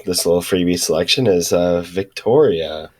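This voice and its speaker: A man with a low voice, a little thick from being sick. He chats loosely on a beer show, rambling and full of filler words. The sound is close and dry. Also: normal speed, a little mellow, no echo. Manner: rambling, hesitant, vamping with fillers. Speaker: podcast co-host. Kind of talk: casual chat.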